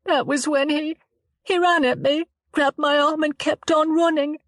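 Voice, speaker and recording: A woman's voice: fairly even intonation, fairly bright tone, no room sound, studio quality. A woman voicing a tearful, shaken young woman. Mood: upset. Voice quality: trembling, halting and upset, rising higher when distressed. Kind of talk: dramatized audiobook reading.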